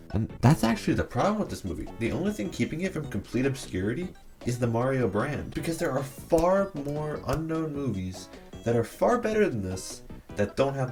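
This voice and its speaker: A man's voice: medium pitch, a little mellow. A man narrating a scripted video essay, giving opinionated commentary with a sarcastic edge.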